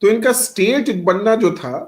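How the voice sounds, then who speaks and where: High-voiced male lecturer quizzing his class. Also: normal speed, a little mellow. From Bihar, India. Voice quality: speaks Hindi with much English mixed in, quizzes students by name. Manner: asks rhetorical questions and answers them himself. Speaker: teacher.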